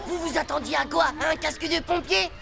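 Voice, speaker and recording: A woman's voice: sits high, a little fast, vocal fry, crowd noise. A woman voicing a cocky, touchy, lovestruck little schoolboy in a French cartoon, talking in indignant kid slang. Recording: music under the voice.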